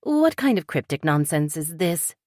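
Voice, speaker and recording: Woman playing a sharp, demanding woman sleuth, low voice with wide swings, dry sound. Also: big pitch swings, moderate pace, dry recording. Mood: demanding, tense. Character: blunt, suspicious woman writer turned sleuth.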